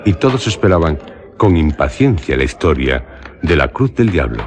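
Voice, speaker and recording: Very deep, solemn gothic narrator. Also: male voice, sing-song, a little hiss or hum, heavily acted. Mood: solemn narration. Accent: Spanish from Spain. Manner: solemn, literary, measured. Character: educated male traveller narrating a gothic tale, skeptical. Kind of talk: radio drama narration.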